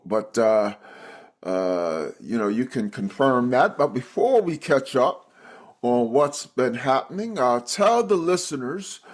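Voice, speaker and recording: A man hosting a cruise podcast, reading an enthusiastic intro and then chatting with many fillers. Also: medium pitch. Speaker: podcast host. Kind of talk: interview podcast.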